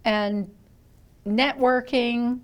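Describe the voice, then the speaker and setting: A woman's voice: on the high side, fairly even intonation, very slow, clear, resonant tone. An older woman with a Canadian accent, hosting a casual, conversational interview. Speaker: interviewer, host.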